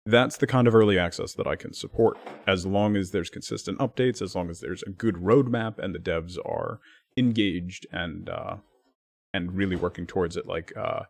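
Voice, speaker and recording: A man streaming live, chatting casually with his viewers and thanking them by name, his talk full of lively swings up and down. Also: lowish voice.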